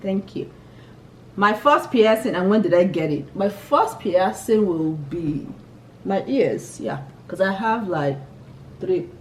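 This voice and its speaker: A woman's voice: medium pitch, lively intonation, takes it slowly, darkish tone, slight background noise. A young woman with a Nigerian accent chats casually and laughs easily, restarting her sentences and filling the gaps with "yeah". Her voice sits in the middle of the range for a woman. The camera picks her up from a little way off, so she sounds slightly distant. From Nigeria, Imo State. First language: Igbo. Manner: chatty, giggly, lots of restarts and "yeah". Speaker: vlogger. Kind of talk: casual chat answering a tag list.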